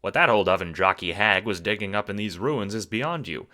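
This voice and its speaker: A man giving dramatic, wry scripted narration for an audio drama, theatrical and expressive. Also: on the low side, brisk.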